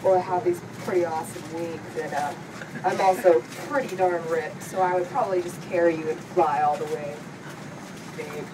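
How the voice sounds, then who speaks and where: Casting: woman as self-satisfied, ripped flying male creature, boastful comedy suitor, low register, noisy recording. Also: fairly even intonation, normal speed, natural voice. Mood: cocky. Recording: clear vehicle-like background noise.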